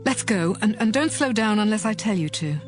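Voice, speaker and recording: A woman's voice: mid-range voice, animated, a little fast, soft background music, lightly acted. A woman playing a determined, earnest wife of ancient Israel in a dramatized scripture audiobook.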